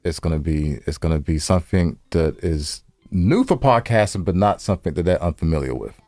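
A man's voice: low voice, lively intonation, a little fast, darkish tone, no echo, studio quality. An American man co-hosting a podcast in casual, playful banter, emphatic and slangy, repeating himself for effect. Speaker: podcast co-host.

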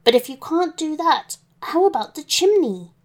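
A woman's voice: high voice, sing-song, moderate pace, pitched above the performer's own voice. A woman voicing a friendly, eager male wolf with a chatty, folksy manner in a children's story. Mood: hesitant, helpful.

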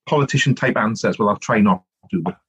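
Welsh man, middle-aged, low husky voice, dry dull lo-fi audio, animated and rambling. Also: fast talker, no echo. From South Wales. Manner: animated storytelling, rambling with many restarts and "you know". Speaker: guest, author and politician. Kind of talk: conversational podcast interview.

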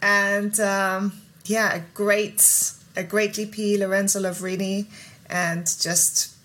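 A woman's voice: mid-range voice, level tone, takes it slowly, fairly bright tone. A woman who grew up in Germany, chatting as an interview guest in a friendly way with small laughs. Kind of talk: casual interview chat.

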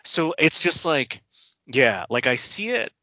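A man co-hosting a casual podcast chat, animated and rambling, his voice leaping higher when he gets excited. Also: takes it slowly, no echo. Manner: animated, jumps to high pitch when excited, talks in rambling bursts. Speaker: podcast co-host. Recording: dull, lo-fi dry sound.

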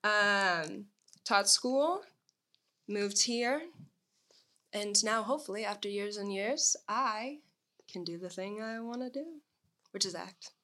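A woman's voice: medium pitch, takes it slowly, long pauses, sharp tone. A woman from Texas chatting casually as a podcast guest. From Northeast Texas. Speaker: podcast guest, aspiring actor. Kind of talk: casual chat.

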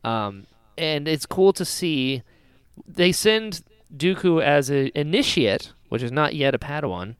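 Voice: medium pitch, lively intonation, unhurried, smooth, no echo. A podcast host chatting casually about pop culture, animatedly slipping into imagined dialogue.

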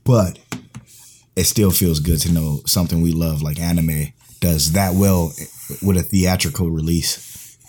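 A man co-hosting a casual podcast, laid-back and slangy, his voice going hoarse. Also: sits low, steady tone, unhurried, darkish tone, slight background noise. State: losing his voice. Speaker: podcast co-host.

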